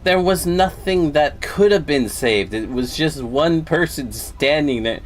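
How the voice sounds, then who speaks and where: A man's voice: fairly high pitch, lively intonation, unhurried, slight background noise. A man livestreaming a game, reacting casually as he plays.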